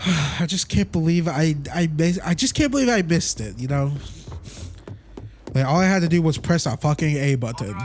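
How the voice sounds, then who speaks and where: A man's voice: fairly high pitch, expressive, normal speed, a little mellow. A man livestreaming a video game with casual, rambling, slangy commentary, often repeating himself as he goes.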